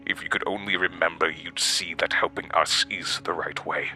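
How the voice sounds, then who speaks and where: An actor playing a sinister man who coaxes a captive to obey, speaking slowly and menacingly, at times near a whisper, in a horror audio drama. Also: a bass voice, steady tone, sharp tone, faint music behind, heavily acted, poor audio. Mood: menacing, coaxing.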